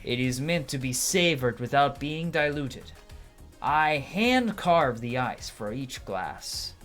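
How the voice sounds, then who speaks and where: A suave, courtly bartender from a video game, put on by an amateur player, savors each phrase theatrically and leans on genteel tag questions. The mid-pitched voice sits over soft music. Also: animated, slow pace. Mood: suave, savoring. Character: suave, courtly bartender, devoted craftsman and romantic. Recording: music behind the voice.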